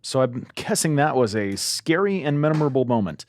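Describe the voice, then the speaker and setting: A man's voice: mid-range voice, big pitch swings, moderate pace, a little hiss or hum. A man co-hosting a podcast, easygoing as he asks a guest questions. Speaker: podcast co-host. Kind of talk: casual interview chat.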